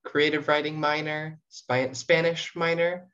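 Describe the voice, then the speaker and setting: A woman's voice: deep voice, flat delivery, measured pace, a bit bright. A young Canadian woman from small-town New Brunswick chatting casually as a podcast guest, playful and self-deprecating. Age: young adult. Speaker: student writer, podcast guest. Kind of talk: casual interview chat. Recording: dull lo-fi podcast audio.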